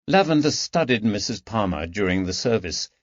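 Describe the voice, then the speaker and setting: A man's voice: fairly low pitch, big pitch swings, moderate pace, no echo. A man reading scripted narration from a period mystery novel as an audiobook narrator. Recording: dull lo-fi recording.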